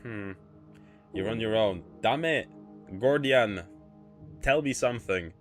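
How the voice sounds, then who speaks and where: A man from Poland with a mixed British and American accent, chatting and thinking out loud while streaming a game. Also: lowish voice, expressive, takes it slowly. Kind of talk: casual gaming livestream chat. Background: music.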